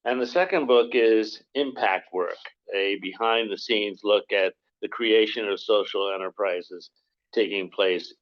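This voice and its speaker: Male seminar host, low voice, formal measured intro, muffled lo-fi recording. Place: university seminar room. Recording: dull lo-fi lecture recording.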